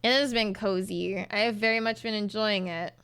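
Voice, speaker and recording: A woman streamer, winded and slightly hoarse from reading aloud, chatting casually with many ums and repeated words. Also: mid-range voice, steady tone, moderate pace, a bit bright, no echo. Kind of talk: casual stream sign-off chat.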